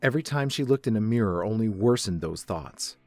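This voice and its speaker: Low-voiced male story narrator. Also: expressive, a little fast, darkish tone, soft background music.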